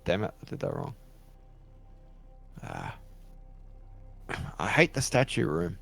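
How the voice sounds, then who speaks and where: Gravelly, low-voiced gamer guy. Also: wildly swooping pitch, a bit bright, a little hiss or hum. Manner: gamer commentary, quick to laugh and curse. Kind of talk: casual chat while gaming.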